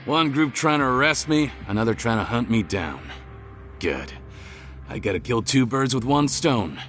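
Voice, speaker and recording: A man's voice: mid-range voice, animated, a little slow, pauses often. A man voicing a ruthless, scheming convict villain in a video game, cold and condescending. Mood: mocking. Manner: taunting, condescending. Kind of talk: dramatic video game voice acting. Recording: loud game music underneath.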